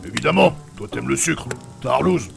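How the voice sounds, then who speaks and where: Man playing a gravelly, macho fantasy barbarian. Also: medium pitch, animated, a little fast, fairly bright tone. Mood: teasing, blunt. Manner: blunt, sardonic, slangy. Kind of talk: fantasy comedy audio drama. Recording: music behind the voice.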